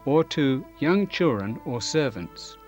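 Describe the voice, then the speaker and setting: An older man presenting a scripted educational video, explaining in a clear, measured way, filmed in a studio. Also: mid-range voice, lively intonation, faint music behind. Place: studio, dark curtain behind.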